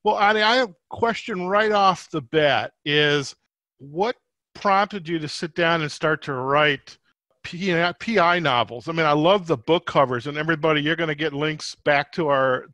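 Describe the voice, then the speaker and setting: Bright-voiced male podcast host, close and dry. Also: fairly high pitch, dry recording. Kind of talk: podcast interview hosting.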